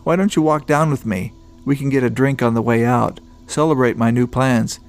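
A man's voice: mid-range voice, normal speed, soft background music, natural voice. A man voicing a talkative, joking male office coworker. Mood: friendly.

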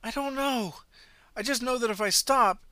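A frantic, breathless man pleads and begs, put on by a male storyteller. His voice rides well above the narrator's own in a strained, pitched-up panic. It is bright and dry. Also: moderate pace, no room sound. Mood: desperate pleading.

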